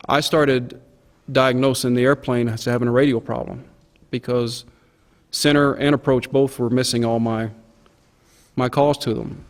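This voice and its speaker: A man's voice: mid-range voice, little pitch movement, a little slow. Plain-spoken man recounting from memory. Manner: plain-spoken, vivid, acts out quoted radio calls. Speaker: airline pilot giving a recollection. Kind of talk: informal spoken recollection of an event.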